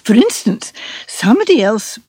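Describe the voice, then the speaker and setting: A woman voicing a gentle, kindly elderly spinster aunt in an audiobook, mild, chatty and earnest, a little fussy. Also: fairly high pitch, very animated, moderate pace, breathy edge, no room sound.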